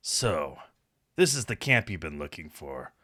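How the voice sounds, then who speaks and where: A calm fox adventurer with a low, unhurried voice , read out by a man. He is worldly and matter-of-fact, like a seasoned mentor in a visual novel. Music plays behind him. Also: no echo, clean studio sound, exaggerated voice. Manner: measured, matter-of-fact, advising.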